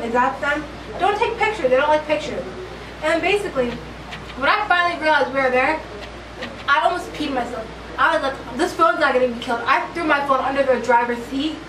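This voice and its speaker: A young woman telling a story casually, in an animated, slangy way, acting out quotes. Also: sits high. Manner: animated, slangy, dramatic retelling with acted quotes. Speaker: interviewee. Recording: echoey, distant, noisy street recording.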